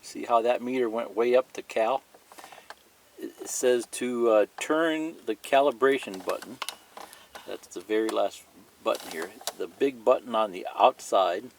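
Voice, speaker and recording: Slow-paced man giving a hands-on tutorial. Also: medium pitch, slight background noise. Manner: plain-spoken, repetitive, pointing things out as he goes. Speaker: hobbyist instructor. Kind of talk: step-by-step hands-on tutorial demonstration, partly reading a manual aloud.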